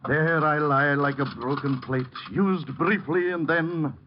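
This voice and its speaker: A man's voice: a little high, steady tone, measured pace, natural voice. An actor playing a bitter, down-and-out theatrical man in an old radio drama, mournful and florid in his delivery. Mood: bitterly poetic. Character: broken, bitter, grandiloquent theatrical man fallen on hard times. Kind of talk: 1940s-50s radio drama acting. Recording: dull, faintly noisy old radio recording.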